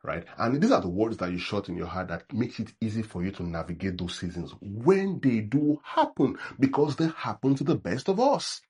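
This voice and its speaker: Low husky Nigerian male pastor. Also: lively intonation, moderate pace, few pauses, a little mellow. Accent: Nigerian English. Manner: conversational preaching, frequent "right?" check-ins; acts out sample prayers in a mocking tone. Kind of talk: sermon.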